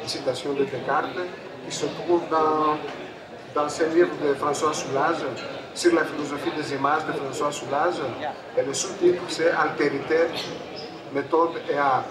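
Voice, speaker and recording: A native Greek man with a Greek accent giving a public talk, halting and repeating his phrases. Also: on the high side, fairly even intonation. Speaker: photographer and panel speaker. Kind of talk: public talk at a book fair, introducing a debate. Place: busy book fair stand. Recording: echoey, distant mic, crowd noise.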